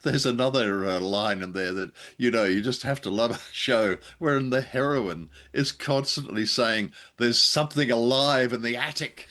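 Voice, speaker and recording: A man's voice: medium pitch, moderate pace, hardly any pauses, fairly bright tone. A man co-hosting a podcast in casual, wry and playful conversation. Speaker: podcast co-host. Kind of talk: casual, jokey chat.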